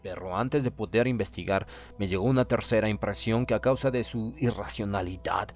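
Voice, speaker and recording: A man narrating a horror audiobook in the first person, with a measured, solemn and literary delivery. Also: fairly low pitch, soft background music.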